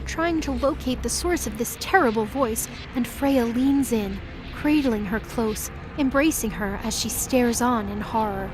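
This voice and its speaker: A woman's voice: fairly high pitch, unhurried, over music, rough recording. A woman reading scripted, dramatic story narration for an audio drama.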